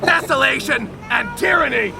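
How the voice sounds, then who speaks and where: A man giving a loud, dramatic, emphatic reading of a historical document outdoors, with deliberate phrasing. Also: extremely high pitch, animated, creaky, low-quality recording. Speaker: reader at an outdoor public reading. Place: outdoors on a lakeside beach. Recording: outdoor crowd and motorboat noise.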